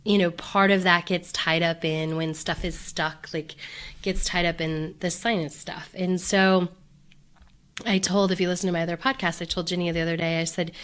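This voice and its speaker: A woman hosting her own podcast in a chatty, upbeat way, full of fillers and restarts, her voice deepened by a cold. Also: flat delivery, normal speed, fairly bright tone. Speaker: podcast host. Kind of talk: casual solo podcast talk.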